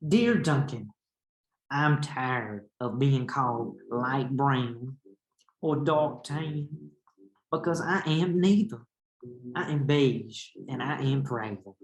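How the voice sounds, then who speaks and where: Man voicing a sulky, proud crayon, very slow. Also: mid-range voice, close to an everyday voice. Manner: drawn-out, sulky. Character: proud, slighted male beige crayon, weary of second place. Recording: roomy echo with background noise.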